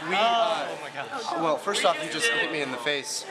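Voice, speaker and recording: A man's voice: fairly high pitch, lively intonation, moderate pace. A young man in casual, joking chat. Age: young adult. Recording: echoey, loud music and crowd chatter.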